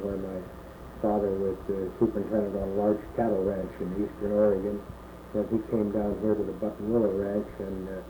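Older man, halting, lots of pauses. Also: lowish voice, dark tone. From California. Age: 60s. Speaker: oral history interviewee. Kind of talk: slow reminiscing answers. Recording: echoey room, muffled phone-like tape with faint hiss.

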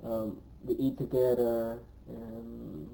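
A man's voice: mid-range voice, little pitch movement, vocal fry, mellow, slight background noise, low-quality recording. A man in his twenties from Cambodia, speaking English, recalls his life in an oral history interview and often stops to say um. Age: 20s. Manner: hesitant, pauses often with um.

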